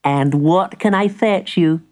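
A woman's voice: lowish voice, slow, darkish tone, voice pushed up. A woman voicing a jolly, teasing male shopkeeper in a children's story. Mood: welcoming. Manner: chuckling, teasing.

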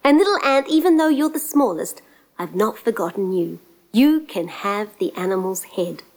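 A woman's voice: mid-range voice, sing-song, normal speed, darkish tone. A woman voicing a brave, self-assured young princess in a storybook tale. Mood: thoughtful, fair. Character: brave, confident young princess, kindly and practical.

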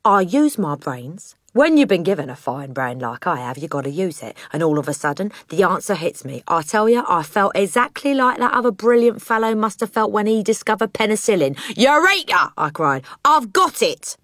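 A woman's voice: on the low side, sing-song, fairly quick, hardly any pauses, a bit bright, no echo, pitched above the performer's own voice. A woman voicing a boastful, bullying car-dealer father in a children's storybook, with swaggering, emphatic, slangy delivery. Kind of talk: children's audiobook character voice.

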